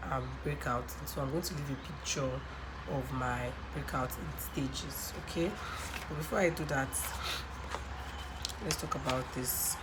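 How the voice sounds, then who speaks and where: A woman from Nigeria with a croaky voice from a cold, chatting casually on camera about a skincare product and often adding "um" and "okay". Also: extremely low pitch. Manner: chatty, many ums and okays. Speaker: skincare vlogger. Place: home room by a sunny window near a road. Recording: clear traffic noise behind. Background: passing cars.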